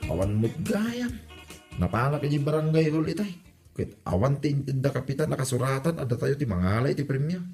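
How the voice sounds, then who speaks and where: A man's voice: mid-range voice, sing-song, brisk, vocal fry, music in the background. A man acting an earnest, aggrieved villager in an Ilocano comedy drama, with an Ilocano accent. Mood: insistent. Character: earnest villager, aggrieved over withheld prize money.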